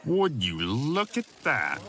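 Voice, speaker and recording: A man's voice: medium pitch, huge pitch swings, slow, background noise, voice pushed up. A man playing a learned, enthusiastic and kindly professor of magic, lecturing formally and eagerly in a fantasy audio drama. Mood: delighted, explaining.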